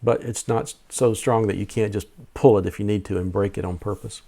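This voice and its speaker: Man, lowish voice, casual vlog narration, plain-spoken, methodical explanations. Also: steady tone, fairly quick, dark tone. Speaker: amateur radio video host.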